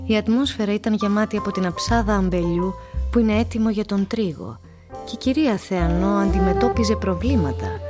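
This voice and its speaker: A woman narrates a radio play in a mid-pitched voice. She reads literary prose, with some music behind her. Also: animated.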